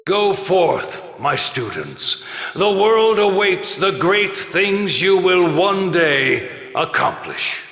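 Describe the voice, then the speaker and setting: An elderly military academy principal orates in a long hall reverb. He is stately and unhurried, with big, bright, swelling phrases made for a game's ceremony. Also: sits high, very animated, exaggerated voice. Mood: rousing. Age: older. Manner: stately, measured, oratorical. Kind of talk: formal ceremonial speech, game voice acting.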